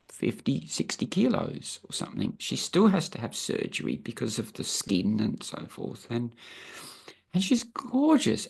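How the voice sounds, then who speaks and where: Woman, 60s, croaky and gravelly, a thoughtful, earnest guest on a video call. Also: deep voice, a little mellow. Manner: earnest, thinking aloud with many restarts. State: croaky from travel. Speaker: interview guest. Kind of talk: reflective personal conversation.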